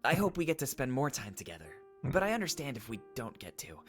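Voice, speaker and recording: Gentle kind furry buddy in a visual novel, very varied pitch, faint music. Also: male voice, very animated, quick pace. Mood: supportive. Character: kind, earnest furry male friend.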